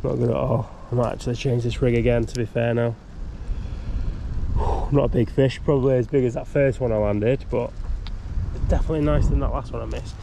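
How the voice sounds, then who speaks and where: A man's voice: mid-range voice, fairly even intonation, brisk, vocal fry, dark tone. A young man chatting upbeat to camera while fishing on a windy riverbank, picked up by an action camera. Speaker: fishing vlogger. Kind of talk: casual outdoor commentary. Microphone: action camera on body or tripod.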